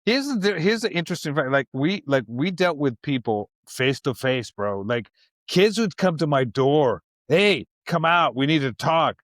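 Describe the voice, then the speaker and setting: A man's voice: fairly high pitch, expressive, a little slow, fairly bright tone, no echo, studio quality. Laid-back man in his fifties. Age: 50s. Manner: laid-back, slangy, laughs often. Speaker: podcast co-host. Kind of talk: casual chat.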